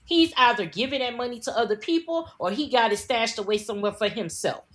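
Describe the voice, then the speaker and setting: A woman's voice: fairly high pitch, fairly quick. A woman from the United States co-hosting a news podcast, ranting bluntly and with plenty of profanity. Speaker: podcast co-host. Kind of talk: heated casual news commentary.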